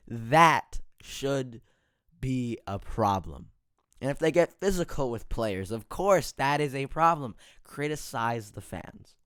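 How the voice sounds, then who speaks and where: A man hosting a casual sports podcast, his voice raspy and hoarse, full of fillers and getting louder as he rants. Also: mid-range voice, dry recording, clean studio sound. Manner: filler-heavy, gets heated and louder when ranting. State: hoarse, tired voice.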